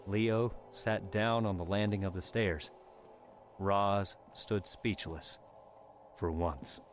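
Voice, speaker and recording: Low-voiced male audiobook narrator. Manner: measured, dramatic. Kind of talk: scripted narration. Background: music score.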